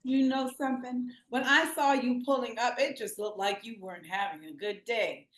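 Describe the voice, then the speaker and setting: In a roomy space, a woman acts out a flirty, affectionate Southern auntie at a drive-through window. Her voice sits fairly high, and she serves customers with sugary hospitality, as in a live screenplay reading. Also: moderate pace, a bit bright, natural voice. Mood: sweet, hospitable. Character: warm flirty Southern auntie donut shop attendant. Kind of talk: live screenplay table read.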